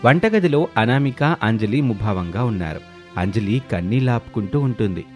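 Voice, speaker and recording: A man narrating a scripted animated story as its storyteller. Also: fairly low pitch, brisk. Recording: voice over prominent music bed.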